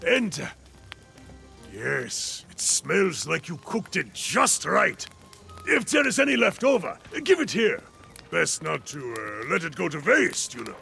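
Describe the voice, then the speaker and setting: Gravelly, jovial adventurer. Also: male voice, on the high side, wildly swooping pitch, unhurried, pushed and bright, faint music behind, full character voice. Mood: jovial, hungry. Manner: jovial, grandiose, teasing. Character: cheerful eager adventurer, a seasoned male monster hunter and mentor. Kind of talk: theatrical video game character acting.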